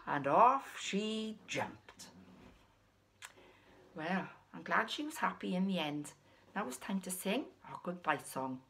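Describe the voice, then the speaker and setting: Slow, expressive woman storyteller. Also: lowish voice, gravelly. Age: middle-aged. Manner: drawn-out, expressive phrasing. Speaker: puppeteer and storyteller. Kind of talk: storytelling for small children. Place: small living room, indoors.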